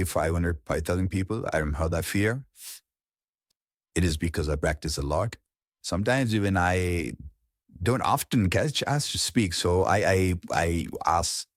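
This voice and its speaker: A man's voice: sits low, no room sound, clean studio sound. A man speaking as a coach, giving an informal, explanatory lesson on public speaking.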